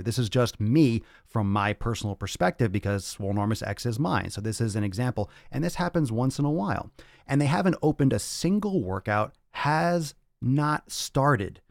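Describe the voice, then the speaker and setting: A man's voice: mid-range voice, a little mellow, no echo, studio quality. A man speaking as a fitness coach and podcast host in a blunt, emphatic, profane rant, often repeating phrases to drive points home. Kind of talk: motivational rant to livestream audience.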